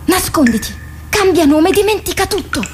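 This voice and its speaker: A woman's voice: very high-pitched, animated, moderate pace, darkish tone, slight background noise. An actress playing an impassioned, poetic woman in a theatrical radio drama, speaking with emphatic delivery. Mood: urgent. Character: impassioned woman confronting and pleading with a warrior.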